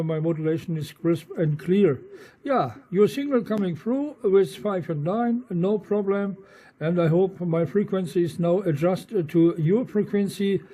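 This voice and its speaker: Man, Dutch accent, radio operator, high-pitched, muffled band-limited audio, halting delivery. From eastern Netherlands. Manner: halting, spells words with phonetic alphabet. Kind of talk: ham radio contact.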